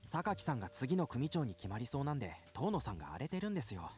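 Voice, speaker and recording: Man acting a quick, excitable young gangster. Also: medium pitch, soft background music, lightly acted. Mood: urgent explaining. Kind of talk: Japanese visual novel voice acting.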